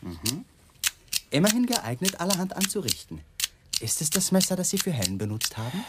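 A man's voice: a little high, very animated, moderate pace, heavy background noise. An actor playing a sardonic, menacing police lieutenant in a radio drama, taunting his suspect with probing questions.

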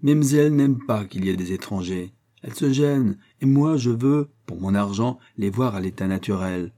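A man's voice: lively intonation, moderate pace, dark tone, close to an everyday voice. A man voicing a drunken, rowdy rich man who is insolent, mocking and overbearing in a storybook reading. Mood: mocking, domineering. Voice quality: big muffled bass in the story, boisterous. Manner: insolent, bullying, familiar.

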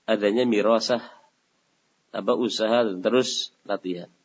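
A man's voice: lowish voice, fairly even intonation, moderate pace. A man teaching a religious study session, answering a question and posing rhetorical questions for his listeners to complete. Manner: asks rhetorical questions and lets the audience finish them. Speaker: Islamic teacher. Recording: dull lo-fi lecture recording.

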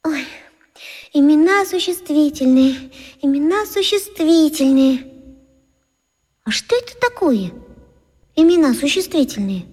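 A woman playing a dreamy, excitable schoolgirl of about eleven who muses aloud in a children's radio play. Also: high voice. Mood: puzzled, distracted. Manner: musing aloud, repeating words slowly. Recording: long reverberant echo, music behind. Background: music.

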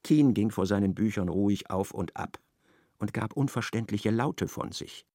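A man narrating a radio play with expressive, dramatic storytelling. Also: on the low side, normal speed, no room sound, clean studio sound.

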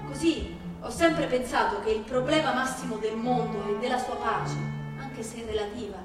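A woman giving a dramatic stage reading of literary texts, with a measured, declamatory delivery and deliberate pauses. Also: on the high side. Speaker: stage performer. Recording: very echoey hall from far off, music behind. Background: live music.

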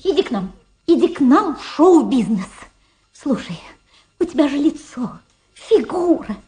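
Radio drama: vulgar, effusive middle-aged showgirl, high-voiced and very animated, rambling and repeating herself. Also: unhurried. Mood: excited, pushy. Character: gushing, vulgar, faded American showgirl reunited with her daughter. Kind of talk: Soviet radio drama acting.

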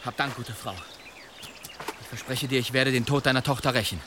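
An actor playing a courteous, earnest wandering demon hunter in a German radio drama, speaking formally. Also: male voice, medium pitch, steady tone, fairly quick, noisy background, lightly acted. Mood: grateful, vowing. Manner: formal, pleading.

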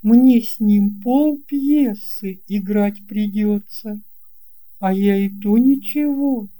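A storybook narrator voicing a cheerful schoolgirl with a light, lively, reassuring manner. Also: medium pitch, takes it slowly, voice pushed up, close to an everyday voice. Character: cheerful schoolgirl comforting her friend.

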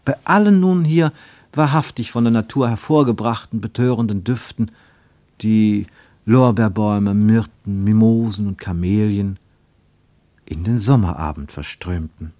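A man with pronunciation reading literary prose for radio, measured and deliberate, with emphatic phrasing and pauses. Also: lowish voice, warm tone, no room sound. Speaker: narrator, reader of literary prose. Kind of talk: scripted literary narration for German radio.

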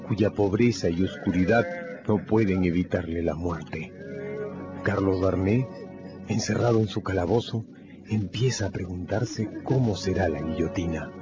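Low-voiced male radio drama narrator. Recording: dull radio recording with loud music. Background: loud music.

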